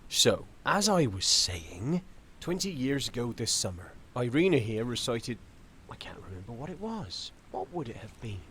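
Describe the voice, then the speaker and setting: An actor playing an opinionated, forgetful older husband who rambles emphatically in an audio drama. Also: male voice, mid-range voice, big pitch swings, normal speed, a bit bright, a little hiss or hum. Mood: fondly nostalgic. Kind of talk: theatrical dialogue.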